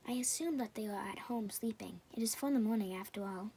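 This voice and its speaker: A woman voicing a polite, guarded mother in a children's puppet show, hushed and pleading, in a noisy home recording. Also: mid-range voice, fast, breathy edge.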